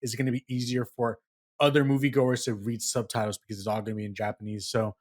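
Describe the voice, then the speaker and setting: A man hosting a casual gaming podcast, steering the talk with questions in an animated way. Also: medium pitch, quick pace. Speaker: podcast host.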